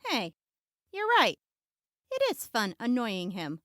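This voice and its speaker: Woman as a high, teasing wastelander. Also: wildly swooping pitch, slow, pushed and bright, no echo, clean studio sound, heavily acted. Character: teasing, prickly redheaded female wasteland traveler. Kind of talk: post-apocalyptic audio drama acting.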